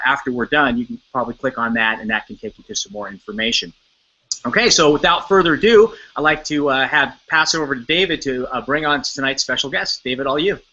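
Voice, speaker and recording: A man's voice: mid-range voice, bright, forceful tone. A man hosting an online hangout on a video call, upbeat but often hesitating with fillers. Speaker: host. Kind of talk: webcast hosting. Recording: muffled lo-fi video call audio.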